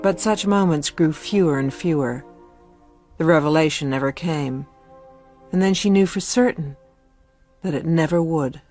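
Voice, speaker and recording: A woman narrating a literary ghost story for a radio play, measured and solemn. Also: low-pitched, a little mellow, soft background music, lightly acted. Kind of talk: scripted narration.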